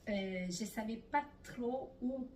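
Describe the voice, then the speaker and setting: A woman's voice: a little high, slow pace, darkish tone, reverberant, distant mic, a little hiss or hum. A middle-aged Italian woman speaking French with an Italian accent as an interview guest, talking casually and hesitantly with many fillers. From Italy.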